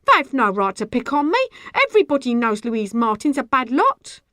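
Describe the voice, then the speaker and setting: A woman's voice: sits high, animated, brisk, sharp tone, no echo, studio quality, pitched above the performer's own voice. A woman voicing a fat, gossiping market woman in an audiobook, defensive, indignant and spiteful, with squealing, ungrammatical working-class speech. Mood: frightened, defensive. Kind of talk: audiobook character acting.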